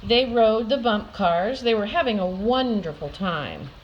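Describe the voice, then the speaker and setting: An older woman reading a children's picture book aloud with deliberate, dramatic emphasis for young listeners. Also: mid-range voice, lively intonation, lo-fi sound, a little hiss or hum. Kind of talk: expressive children's story read-aloud.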